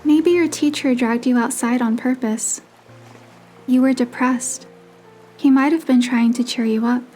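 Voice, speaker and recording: A woman plays a young romantic heroine telling her own story. Her voice is high and gentle, measured in both narration and dialogue, over faint guitar music. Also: fairly even intonation. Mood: tender, curious. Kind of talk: scripted first-person narration and dialogue. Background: background music with guitar.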